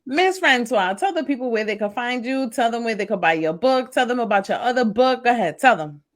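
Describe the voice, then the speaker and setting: A woman's voice: fairly high pitch, lively intonation, brisk. A woman hosting a podcast, chatting casually with her guest and encouraging her, laughing along. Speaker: podcast host. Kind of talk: casual interview chat.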